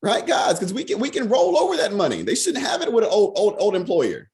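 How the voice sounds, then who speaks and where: Hoarse man pumping up his team. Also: high-pitched, huge pitch swings, a little mellow. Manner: fast, repetitive, peppered with "right?". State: hoarse, a bit sick. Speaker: financial services team leader. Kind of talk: motivational talk on a video call.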